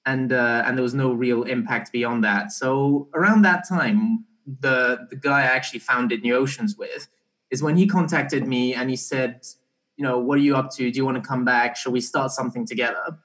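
A young man talking as a podcast guest in a relaxed, halting way, often restarting his sentences. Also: mid-range voice, low-quality recording. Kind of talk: casual conversational interview.

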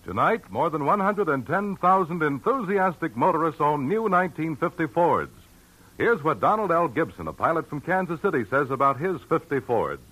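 A man's voice: on the high side, very animated, moderate pace, fairly bright tone, breathy edge, rough recording. Upbeat male radio ad announcer. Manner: upbeat, persuasive. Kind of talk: scripted radio ad read.

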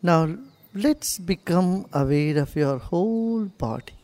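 A woman leading a guided meditation in a soothing, gently instructing manner. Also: on the low side, animated, slow.

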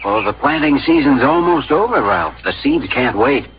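An actor playing an earnest, devoted young frontier farmer in theatrical 1950s radio drama style. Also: male voice, mid-range voice, animated, normal speed, slight background noise, natural voice. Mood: cheerful, determined. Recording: muffled old radio broadcast with music.

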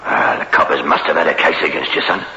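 An old radio thriller recording, roomy and reverberant. a hardened jailbird gangster with a low, very sharp-edged voice, bullying and cajoling in rough criminal slang. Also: male voice, steady tone, quick pace, lo-fi sound, slight background noise, exaggerated voice. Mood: gruff. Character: hardened, menacing career criminal convict, male. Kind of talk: 1960s radio suspense drama acting.

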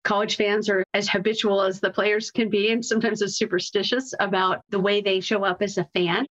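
A woman's voice: mid-range voice, flat delivery, fairly quick, fairly bright tone. A woman talking conversationally as an interview guest, giving explanatory answers as a marketing executive. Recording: slightly dull, lo-fi audio.

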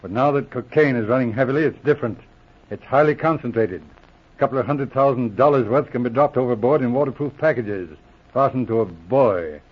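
An actor as a husky, measured police inspector. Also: male voice, medium pitch, natural voice. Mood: methodical, confiding. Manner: measured, explanatory, authoritative. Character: seasoned police inspector, calm, methodical, philosophical senior detective. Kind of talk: 1940s radio drama acting. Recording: dull, muffled old radio recording.

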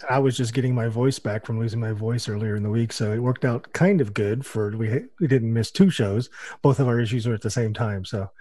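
An American man co-hosting a radio show on a boom mic, explaining things quickly and restarting often. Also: dark tone, dry recording. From United States. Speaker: podcast co-host. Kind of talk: casual radio show chat.

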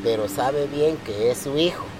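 Man, halting interview speech, repeats words, distant mic, loud background noise. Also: medium pitch. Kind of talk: casual interview.

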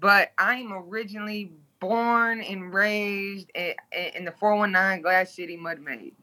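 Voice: medium pitch, measured pace, pushed and bright. A guest from Toledo, Ohio, speaking bluntly and candidly in an interview, swearing freely.

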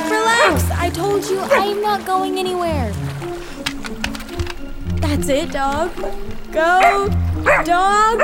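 A plucky young girl speaks in a high, unhurried voice. She is earnest, chatty and expressive, in the manner of an adventure audio drama. Loud music runs underneath her. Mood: excited, urgent. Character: plucky young girl adrift on a raft with a dog.

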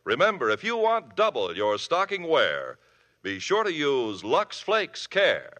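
Male 1950s announcer, persuasive pitchman, higher and lively. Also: a little slow. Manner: smooth, persuasive salesman delivery. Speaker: radio announcer. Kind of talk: 1950s radio announcing and commercials.

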